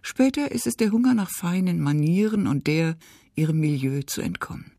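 A woman narrates a scripted book review with a husky, gravelly edge to her dark voice. Her delivery is crisp and even with lively emphasis, dry and close to the mic. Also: on the low side, moderate pace, no echo.

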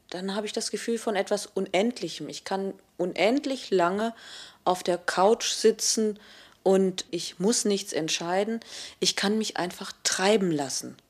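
A woman in an interview, speaking thoughtfully and sometimes dropping almost to a whisper. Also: mid-range voice. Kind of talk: reflective interview statement.

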